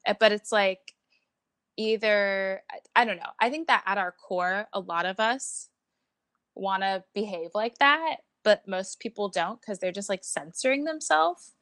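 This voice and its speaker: A woman's voice: mid-range voice, a little slow, a bit bright, dry recording, studio quality. A young woman co-hosting a podcast, chatting casually in a breezy way full of "like". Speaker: podcast co-host. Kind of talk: casual chat.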